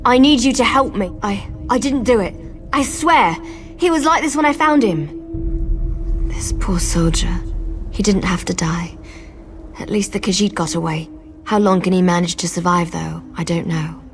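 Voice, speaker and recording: A woman's voice: medium pitch, measured pace, over music, natural voice. An actress playing a weary, earnest forest clanswoman in a video game, speaking with somber urgency. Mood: pleading. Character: grave, weary young-sounding female forest clan outsider-watcher, ancient lineage. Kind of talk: dramatic video game acting.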